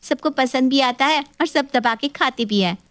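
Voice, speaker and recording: A woman's voice: high-pitched, normal speed, a bit bright, clear, resonant tone, no echo. A woman playing a naive, earnest young daughter-in-law in a dramatized story. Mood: eager, scheming. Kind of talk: animated story dialogue.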